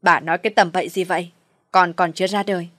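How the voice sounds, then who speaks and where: Woman plays domineering middle-aged male patriarch, gruff and irate, audio drama, dry sound. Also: fairly low pitch, fairly even intonation, fast talker, a bit bright, no echo, natural voice. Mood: brusque.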